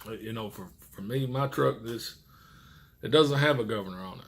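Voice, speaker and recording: A man's voice: mid-range voice, moderate pace, creaky. A middle-aged man, a truck driver chatting casually and folksily in a rambling way to his viewers. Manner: folksy, rambling, mild swearing. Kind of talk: casual chat to camera.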